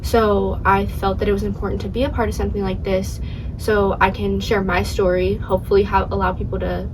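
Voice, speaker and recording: Casting: 16-year-old girl podcast co-host, halting casual talk full of fillers, recorded from across the room. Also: mid-range voice, level tone. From St. Louis, USA. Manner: halting, lots of fillers and restarts. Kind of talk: casual chat. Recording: distant mic with clear background noise.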